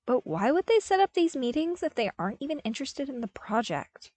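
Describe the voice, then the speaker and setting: A woman voicing an earnest, cheeky young male apprentice with an informal, questioning, expressive manner. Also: high voice, brisk, slightly rough, dry recording, voice pushed up, exaggerated voice. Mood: puzzled.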